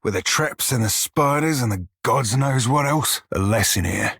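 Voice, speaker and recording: An actor voicing a wry, foul-mouthed pirate shiphunter in a video game, speaking a colloquial sailor's dialect with a gritty, husky voice. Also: male voice, mid-range voice, expressive, normal speed, a bit bright, no echo, clean studio sound, exaggerated voice. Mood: wry, casual. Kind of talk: video game character dialogue.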